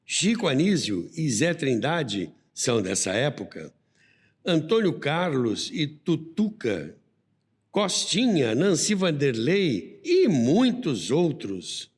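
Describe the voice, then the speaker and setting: A man's voice: a little high, big pitch swings, takes it slowly, husky. A man with a Brazilian accent narrating a scripted documentary as the host of a radio history show. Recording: long reverberant hall sound.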